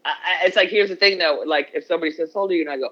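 A man's voice: fairly high pitch, rapid-fire. A man in his forties co-hosting a casual chat over a video call, telling stories loosely and swearing freely. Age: 40s. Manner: loose, profane storytelling. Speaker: podcast co-host. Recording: dull lo-fi call audio.

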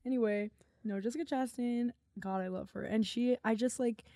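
Young woman podcast cohost, bubbly and slangy, laughs a lot, mellow voice, dry sound. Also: fairly high pitch, moderate pace, no echo. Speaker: podcast co-host. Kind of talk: casual chat.

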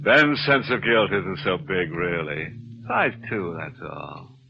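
An actor playing a gleefully macabre, wisecracking host of an old radio mystery show, sardonic and insinuating. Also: male voice, sits low, animated, slow, vocal fry, background noise, exaggerated voice. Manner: sardonic, insinuating, punning, chuckling. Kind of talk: 1940s radio horror hosting and sponsor read. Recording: muffled old radio recording.

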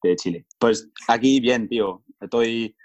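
A man's voice: mid-range voice, a little slow. A young Englishman with a Spain-Spanish accent, a native English speaker, chatting as a guest in an easygoing, rambling way with many restarts. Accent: Spain Spanish, learned as English speaker. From England. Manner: rambling, self-correcting, easygoing. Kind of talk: casual chat.

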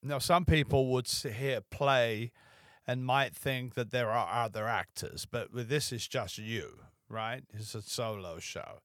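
A man's voice: medium pitch, a little slow, fairly bright tone, no echo. A man hosting a podcast, asking long, winding questions in an easygoing, conversational way. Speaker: podcast host. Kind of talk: casual interview conversation.

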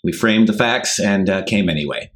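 A man from the Chicago area, guest co-host, telling stories in a relaxed, wry way with frequent pauses. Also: lowish voice. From Chicago area, USA. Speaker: guest co-host, comedy producer. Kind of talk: casual storytelling chat.

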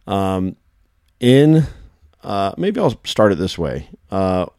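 A man hosting a casual podcast, his voice hoarse and worn, chatting and telling stories in quick bursts. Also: sits low, sing-song, darkish tone, no room sound, clean studio sound. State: hoarse from shouting. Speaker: podcast host.

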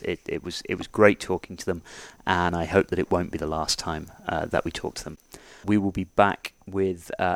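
Man, podcast host, low voice, relaxed, fillers and restarts, dry close sound. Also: no echo. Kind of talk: casual interview podcast.

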